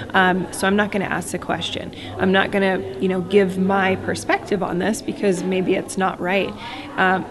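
A woman in cybersecurity reflecting steadily on career lessons in a conference interview. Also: medium pitch. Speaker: cybersecurity professional. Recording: busy conference crowd behind.

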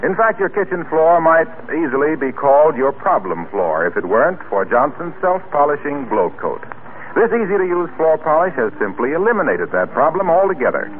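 A man's voice: a little high, very animated, normal speed. An American man announcing a scripted 1940s radio commercial in a polished, emphatic sales style. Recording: tinny, hissy old radio recording.

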